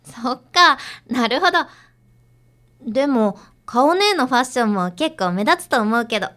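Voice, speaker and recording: Actress doing perky young idol girl, overfamiliar and casual, high bright voice, radio drama. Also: animated, moderate pace. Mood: pleading, perky. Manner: casual, girlish, unabashed.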